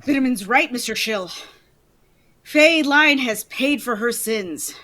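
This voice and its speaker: Woman playing urgent high-pitched radio detective hero. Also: expressive, slow, pushed and bright, heavily acted. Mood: grave. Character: heroic, urgent male police detective Dick Tracy. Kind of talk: 1940s radio serial acting.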